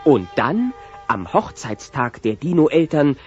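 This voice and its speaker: A man narrating a scripted children's audio story in an expressive storytelling style. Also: on the high side, takes it slowly. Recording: dull lo-fi cassette transfer. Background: music.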